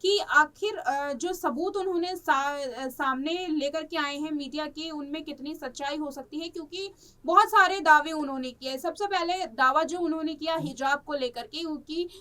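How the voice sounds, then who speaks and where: High female voice, news presenter, halting unscripted commentary, distant microphone. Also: pushed and bright. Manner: halting, repetitive, stretches words while searching for the next.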